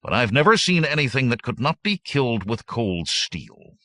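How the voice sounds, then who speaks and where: A man's voice: mid-range voice, big pitch swings, normal speed, sharp tone, pitched above the performer's own voice. A man voicing a confident, shrewd barbarian warrior in an audiobook, speaking assertively. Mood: skeptical.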